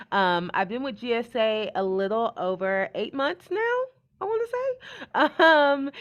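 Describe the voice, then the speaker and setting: A woman's voice: medium pitch, a bit bright. Cheerful woman on a muffled video call. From Georgia. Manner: cheerful, chatty, with ums. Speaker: program manager. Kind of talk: self-introduction on a video call.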